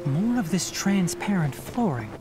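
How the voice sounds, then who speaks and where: A man voicing a calm, knowledgeable young forest ranger in a video game, explaining things in an articulate, analytical way. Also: sits high, measured pace, loud music behind the voice. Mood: composed, decisive.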